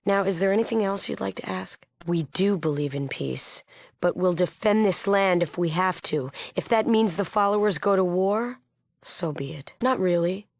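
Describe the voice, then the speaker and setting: A woman's voice: fairly low pitch, moderate pace, no echo, close to an everyday voice. An actress playing an earnest, resolute woman who leads a peace movement in a wasteland video game, speaking formally and firmly. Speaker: game character. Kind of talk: scripted video game dialogue.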